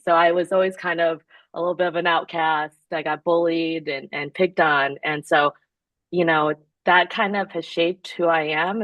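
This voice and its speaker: Woman, very low voice, dry close sound, earnest, measured, founder guest. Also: fairly bright tone, dry recording, low-quality recording. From born in Korea, raised in the United States. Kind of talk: casual interview answers.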